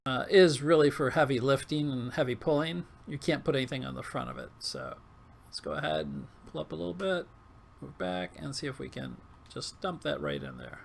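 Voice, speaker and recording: A man with a coarse, hoarse, failing voice giving casual, halting commentary over gameplay. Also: medium pitch, sing-song, a little hiss or hum. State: hoarse, losing his voice. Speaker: gaming video host.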